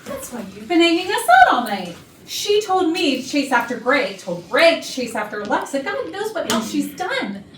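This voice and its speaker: A woman playing a blunt, dramatic, excitable office worker in a staged murder mystery comedy. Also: high voice, moderate pace. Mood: accusing. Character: feisty, outspoken, excitable female office worker. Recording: echoey, noisy room, far from mic.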